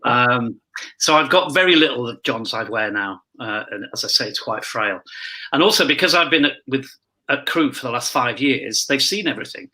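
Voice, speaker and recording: A man's voice: medium pitch, lively intonation, moderate pace, pushed and bright, poor audio. A man chatting casually on a remote call, rambling along with asides as a pantomime performer. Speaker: pantomime dame performer, panel guest. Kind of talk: casual chat over video call. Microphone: earpiece microphone on a remote call.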